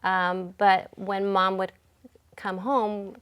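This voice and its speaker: Reflective woman principal, full of pauses. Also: medium pitch, level tone, a bit bright, clear, resonant tone. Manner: reflective, unhurried, with many ums and pauses. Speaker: school principal. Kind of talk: casual interview talk.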